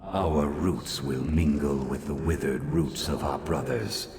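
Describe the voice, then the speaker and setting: Ancient dreaming tree spirit for a fantasy game, bottomless gravelly bass, solemn, long reverb. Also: male voice, fairly even intonation, slow pace, noisy background, heavily acted. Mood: solemn, benevolent. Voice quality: deep, gravelly. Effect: reverberant echo. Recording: game audio, long echo, loud music.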